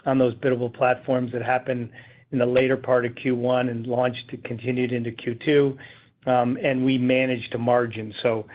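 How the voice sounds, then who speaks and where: Male executive, explanatory and halting, even melody, slightly muffled conference line. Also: medium pitch. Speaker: company executive. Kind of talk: unscripted business answer on a call. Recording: slightly dull conference call audio.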